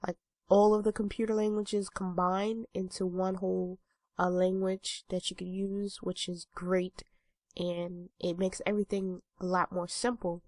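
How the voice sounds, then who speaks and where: A woman's voice: medium pitch, a little mellow, no echo. Young woman, stuffy nose, lots of um and uh, dry close mic. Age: 20s. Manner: rambling, many ums and uhs. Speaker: vlogger. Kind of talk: casual talking-head vlog.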